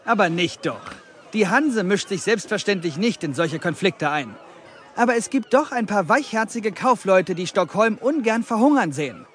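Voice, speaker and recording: An actor playing a shrewd, courteous, genteel merchant who recruits men in an audio drama. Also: male voice, high voice, expressive, moderate pace. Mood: smooth, insinuating. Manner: courteous, persuasive, self-assured. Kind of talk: German audio drama acting.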